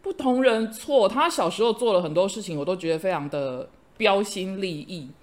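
A middle-aged woman, a host and teacher, chatting casually and telling lively stories with frequent laughter. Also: mid-range voice, normal speed. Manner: animated storyteller, laughs often. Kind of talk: casual chat.

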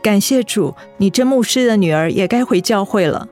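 An actress playing a gentle, apologetic young woman in a radio drama. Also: medium pitch, expressive, moderate pace, close to an everyday voice. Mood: contrite. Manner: meek, affectionate. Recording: music behind the voice.

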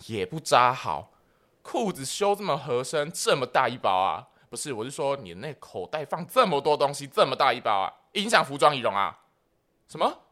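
A man's voice: mid-range voice, pushed and bright. Man doing a swooping, bossy Taiwanese school disciplinarian. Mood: stern, incredulous. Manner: barking orders, suspicious, muttering asides. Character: bossy, nosy male school disciplinary director. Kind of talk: comic radio play.

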